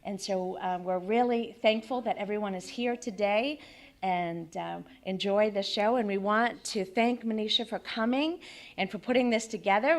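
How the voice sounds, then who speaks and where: A woman organizer welcoming an audience at a community event, speaking hesitantly with many fillers. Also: mid-range voice. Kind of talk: welcome speech. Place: community center hall.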